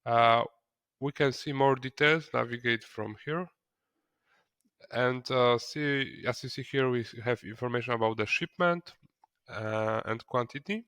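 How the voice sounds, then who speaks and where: Guy doing a software tutorial, kinda hesitant, repeats words, medium pitch, dry sound. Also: unhurried, clean tone, no room sound. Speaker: software trainer. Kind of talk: screen-recorded software tutorial, explaining step by step.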